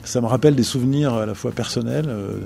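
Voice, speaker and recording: A middle-aged man talking on the radio about songs he loves, thoughtful and pausing often to find his words. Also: medium pitch, creaky, dark tone. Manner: thoughtful, searching for words, many pauses and restarts. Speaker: radio guest, broadcasting executive. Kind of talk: reflective radio talk about favorite songs.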